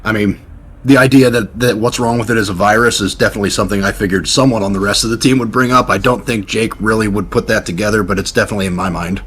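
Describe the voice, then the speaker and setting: A man with a fairly low voice speaks very quickly during an online tabletop game. He is casual and reflective as he explains himself, over faint background noise. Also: fairly even intonation, fairly bright tone. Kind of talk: casual out-of-character game talk. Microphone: online voice call.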